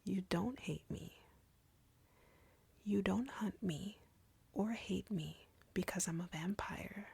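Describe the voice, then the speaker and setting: A very breathy, husky female vampire played by a woman in an intimate audio roleplay. She speaks slowly in a taunting, seductive whisper with a gravelly crackle, arrogant and cruel as she flirts with her prey. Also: medium pitch, darkish tone, no room sound, full character voice. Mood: smug, accusing. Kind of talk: intimate scripted audio roleplay addressed to the listener.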